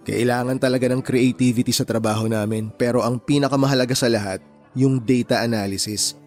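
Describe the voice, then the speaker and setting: Actor as brainy young man, radio drama, mid-pitched, considerate, explanatory, faint music underneath. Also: steady tone, a little fast, darkish tone, close to an everyday voice. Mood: earnest, modest.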